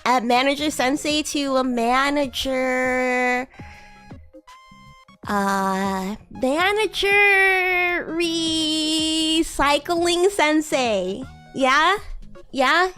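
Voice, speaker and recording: Bratty young female demon classmate played by a woman streamer: very high voice, boastful, teasing, easily flustered, roleplay stream. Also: very slow, bright, forceful tone, faint music behind, full character voice. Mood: chatty, teasing. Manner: teasing, haughty, stammering, giggly. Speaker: VTuber streamer. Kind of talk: improvised roleplay livestream chatting with viewers.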